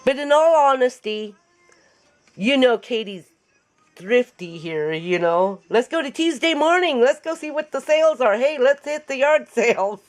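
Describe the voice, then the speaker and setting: A woman with a raspy voice after an illness, chatting and joking as she demonstrates a paper craft in her home craft room. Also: sits high, lively intonation, a little slow. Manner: chatty, joking, laughs often. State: recovering from illness. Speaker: craft tutorial host. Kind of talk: casual chat while demonstrating a craft.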